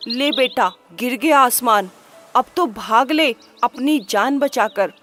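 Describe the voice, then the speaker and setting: A frantic little storybook rabbit, high and breathless with alarm, as a woman puts him on. He yells in urgent bursts over faint music in the background. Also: slow. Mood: scared. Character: panicky young male rabbit.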